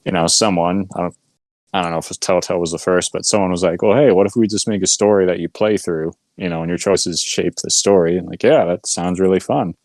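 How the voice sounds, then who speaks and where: A man's voice: sits low, fairly even intonation, fairly quick, a little mellow, dry recording. A man co-hosting a podcast, explaining things informally with little acted-out examples. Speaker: podcast co-host. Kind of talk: casual podcast chat.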